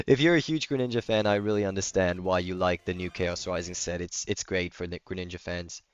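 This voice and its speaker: Deep, clean-voiced German-accented man streaming. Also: fairly even intonation, brisk, clean tone, no room sound, dull audio. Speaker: livestreamer playing a video game. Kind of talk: casual chat, replying to stream comments.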